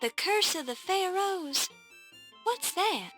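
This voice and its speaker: A woman's voice: very high-pitched, expressive, slow pace, a bit bright, faint music behind, heavily acted. An actress playing a curious young woman reporter who asks polite, probing questions in a 1990s adventure game. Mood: inquisitive.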